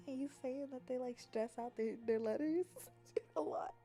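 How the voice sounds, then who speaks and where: Young female livestreamer, high voice, silly and expressive casual chat, music underneath. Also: slow pace. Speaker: livestreamer chatting with viewers. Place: apartment.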